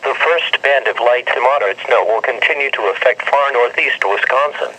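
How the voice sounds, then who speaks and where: A man's voice: medium pitch, normal speed, bright, forceful tone, low-quality recording. A man reading a formal scripted weather bulletin, heard through a radio speaker. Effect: radio speaker playback. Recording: raspy small radio speaker picked up in room.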